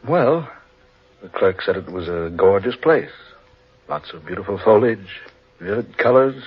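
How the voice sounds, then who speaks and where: An actor playing an earnest, intrigued English gentleman who believes in legends, in old-time radio drama style. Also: on the low side, lively intonation, a little slow, slightly rough. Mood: earnest, insistent. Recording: dull, muffled old radio recording.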